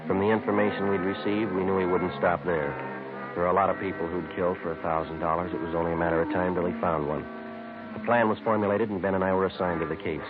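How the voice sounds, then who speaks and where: An actor playing a brisk, terse detective sergeant, narrating his case in a clipped, deadpan, matter-of-fact way for a 1950s radio police drama. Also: low-pitched, lightly acted. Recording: tinny old radio recording, music behind.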